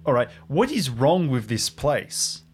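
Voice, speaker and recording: Man playing a rattled, insistent young park ranger, animated. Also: medium pitch, a little slow, breathy edge. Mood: agitated, exasperated. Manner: agitated, questioning, repeats himself. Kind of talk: improvised audio drama roleplay.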